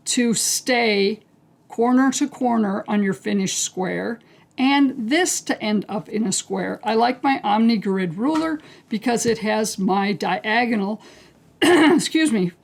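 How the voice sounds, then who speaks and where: A woman teaching a quilting technique step by step, calm and unhurried, clearing her throat as she is losing her voice. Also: mid-range voice. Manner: calm, unhurried, talks through each step as she works. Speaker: quilting instructor. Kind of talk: step-by-step craft tutorial.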